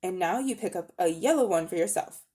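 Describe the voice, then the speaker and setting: A woman's voice: fairly low pitch, lively intonation, brisk, dark tone, lightly acted. A woman voicing a haughty, cold-hearted older magician uncle in a dramatic storybook reading, condescending and coolly menacing. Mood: instructive, sly.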